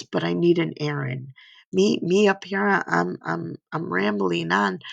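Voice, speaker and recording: An older woman speaks in a hoarse, gravelly voice with a husky edge. She gives a rambling, earnest faith-based motivational talk and keeps checking in with "right?" and "you know?". The sound is dry and close. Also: on the low side, dry recording. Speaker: speaker giving a slide talk.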